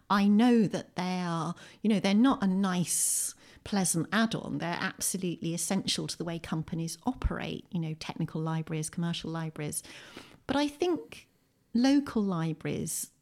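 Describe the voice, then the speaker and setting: A woman's voice: mid-range voice, darkish tone. A woman with an English accent talking thoughtfully and articulately as an interview guest, making her points with emphasis. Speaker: podcast guest, poet and writer. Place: at home, indoors.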